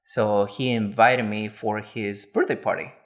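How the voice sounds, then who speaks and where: A man in his forties from Peru tells his story as a podcast guest in plain, easygoing sentences. His voice sits on the lower side, and he keeps a relaxed, good-natured manner. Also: a little slow. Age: 40s. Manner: plain-spoken, good-humored, simple phrasing. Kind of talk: casual podcast interview.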